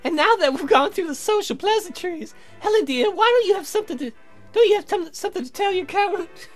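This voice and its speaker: A woman putting on a high, gushing flirty lady. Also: expressive, fairly quick, faint music behind. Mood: playful, teasing. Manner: playful, affected, teasing. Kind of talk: theatrical visual novel character reading.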